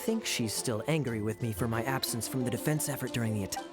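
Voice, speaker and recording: An actor voicing a smooth, flirtatious male cavalry captain who speaks in a suave, teasing, unhurried way. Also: mid-range voice, over music, close to an everyday voice. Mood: sly.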